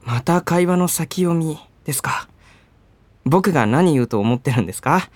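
A woman's voice: sits low, animated, moderate pace, a little mellow, no echo. A woman acting in a radio drama as an earnest young male junior coworker, polite and boyish, with a teasing side. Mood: let down, pressing.

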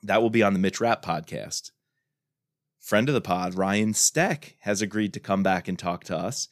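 A man co-hosting a thriller book podcast, joking with the guest and briskly running through upcoming episodes. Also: low voice, dry recording, clean studio sound. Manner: upbeat, joking, runs through lists. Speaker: podcast co-host. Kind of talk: casual podcast chat.

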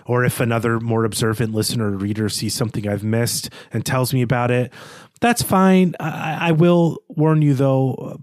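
A man's voice: mid-range voice, fairly even intonation, moderate pace, darkish tone, no echo, studio quality. A man co-hosting a literary podcast, talking through a prepared argument in a discursive, bookish and self-deprecating way. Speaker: podcast co-host presenting his reading of a novel.

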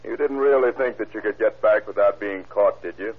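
An actor playing a brisk, gloating tough immigration officer in a 1930s radio crime drama, curt and taunting. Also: male voice, on the low side, lightly acted. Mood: smug, curt. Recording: tinny, band-limited old radio broadcast, noisy bustle.

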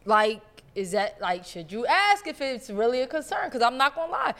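A woman's voice: a little high, sing-song, moderate pace, a bit bright. A woman hosting a podcast, giving blunt, slangy opinions in casual chat. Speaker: podcast host.

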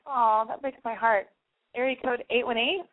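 A woman's voice: on the high side, fairly even intonation, normal speed. A woman hosting a late-night call-in advice radio show, blunt and gushing, swearing freely. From German family. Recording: tinny phone-like audio.

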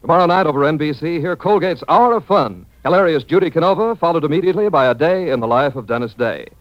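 High-pitched American radio announcer, man. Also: animated, quick pace. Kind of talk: 1940s radio advertising and announcements. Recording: dull dry vintage radio.

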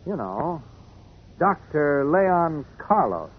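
An actor playing a brisk, confident newspaper reporter who questions and commands, in 1940s radio style. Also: male voice, on the high side, sing-song, slightly rough, exaggerated voice. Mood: insistent, casual. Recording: noisy, muffled old radio recording.

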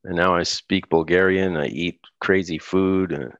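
Low gravelly American man, rambling. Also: steady tone, measured pace, fairly bright tone, dull audio. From United States. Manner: rambling, laughs often, many restarts. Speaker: guest musician. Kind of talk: casual interview chat.

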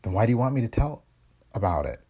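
A man's voice: lowish voice, big pitch swings, dark tone, breathy edge. A man voicing a poised young woman reporter. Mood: eager. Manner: polite, quick replies.

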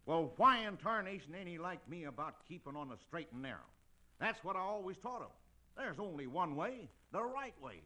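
A stubborn old-West lawman in a classic radio drama. His Western American voice is forceful and a little high, swinging widely as he blusters in folksy cowboy talk. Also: big pitch swings, normal speed, exaggerated voice. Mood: angry. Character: hot-tempered, stubborn frontier marshal, anguished middle-aged father.

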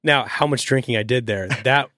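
A man's voice: mid-range voice, moderate pace, bright, forceful tone. A young man co-hosting a casual podcast, joking at his own expense, his voice strained and going. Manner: self-mocking, joking. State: strained, losing voice. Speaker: podcast co-host. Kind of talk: casual chat.

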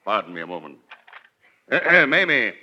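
Glad-handing mayor, super bright punchy voice, very animated, muffled 40s radio comedy. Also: male voice, medium pitch, normal speed, exaggerated voice. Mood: jovial. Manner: effusive, repeats himself. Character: hearty, glad-handing small-town mayor. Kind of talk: 1940s radio comedy acting. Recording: dull vintage 1940s radio recording.

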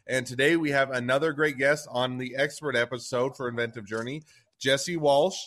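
A man's voice: mid-range voice, steady tone, moderate pace, fairly bright tone. Man, podcast host, interview, wandering self-correcting questions.

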